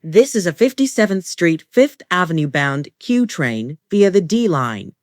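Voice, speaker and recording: A woman reading scripted automated train announcements in measured, formulaic phrases. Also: fairly low pitch, big pitch swings, no echo, clean studio sound. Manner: formulaic, measured delivery of short set phrases.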